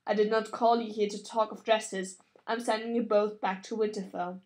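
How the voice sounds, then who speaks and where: A woman's voice: mid-range voice, fairly even intonation, normal speed, darkish tone, natural voice. Woman reading a weary, grave noble father. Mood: weary, impatient. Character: weary, stern but gentle noble father.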